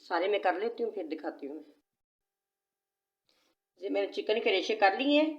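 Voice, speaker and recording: A woman's voice: medium pitch, animated, moderate pace, lo-fi sound. A woman with a sore throat, hosting a cooking channel and patiently explaining each step of a recipe in a home kitchen. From Pakistan. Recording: echoey room.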